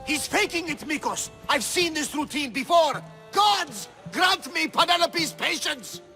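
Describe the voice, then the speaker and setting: A theatrical, exasperated old-world seafarer uncle in a video game. The voice sits high, with a creaky, forceful edge. Soft music runs behind it. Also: male voice, expressive, unhurried, heavily acted. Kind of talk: video game cutscene acting.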